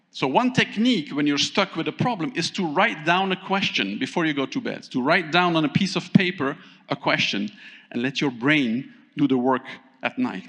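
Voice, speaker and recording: Man giving a keynote in a reverberant hall. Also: on the high side. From Thailand. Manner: animated, asks the audience questions, pauses often. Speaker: keynote speaker. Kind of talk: conference keynote talk. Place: large conference hall.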